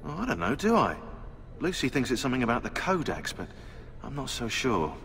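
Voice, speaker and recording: A sardonic British researcher, echoing room. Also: male voice, medium pitch, very animated, brisk, fairly bright tone, slight background noise. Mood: sarcastic, grumbling. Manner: dry, sarcastic. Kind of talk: video game cutscene acting.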